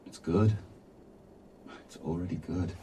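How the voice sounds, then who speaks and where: Low soft-toned guy, easygoing supportive coworker, natural game dialogue, slight noise. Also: slow. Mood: reassuring. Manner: apologetic, reassuring. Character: mild, supportive male colleague in a studio.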